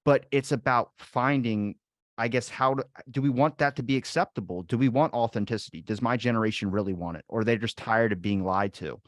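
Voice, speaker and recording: A man's voice: medium pitch, a little fast, dry recording, studio quality. A man hosting a podcast who rambles and restarts his sentences, joking at his own expense as he asks questions. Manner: rambling, self-deprecating, many restarts. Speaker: podcast host. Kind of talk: casual interview chat.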